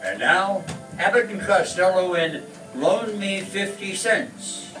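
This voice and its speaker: A man's voice: high-pitched, expressive, takes it slowly. A man announcing in the style of an old-time radio show, reading scripted lines. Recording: echoey, distant mic, faint music.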